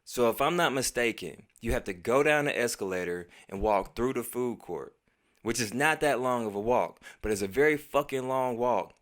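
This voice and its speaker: A man's voice: medium pitch, normal speed. An American man telling a casual personal story in heavy slang and profanity, acting out bits of dialogue as he goes. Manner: profane, slangy, animated retelling. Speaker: storytelling vlogger.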